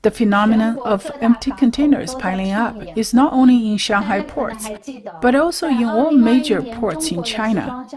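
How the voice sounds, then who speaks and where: A young woman presenting the news to camera from a room at home, explaining clearly with a light conversational touch. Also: on the high side, normal speed.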